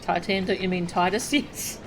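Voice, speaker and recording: A woman's voice: lowish voice, normal speed, background noise. Casual woman streaming a game. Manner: casual, wry asides, laughs softly.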